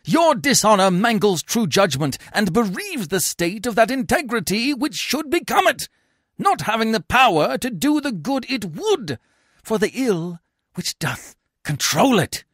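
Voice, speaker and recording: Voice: medium pitch, sing-song, a little slow, fairly bright tone, dry recording, studio quality, pitched above the performer's own voice. A reader voicing a proud, disdainful Roman nobleman in a theatrical Shakespeare read-aloud, stern and declamatory.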